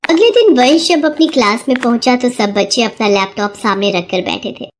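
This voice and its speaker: A woman narrating a children's moral story in an even storybook manner. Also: a little high, normal speed, slight background noise.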